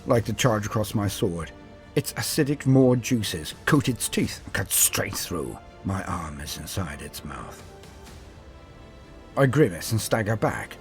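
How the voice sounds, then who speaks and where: A man's voice: fairly low pitch, big pitch swings, a little slow, soft background music. A man narrating a scripted story as a host, in a theatrical, dramatic way. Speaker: narrator and host of a lore channel.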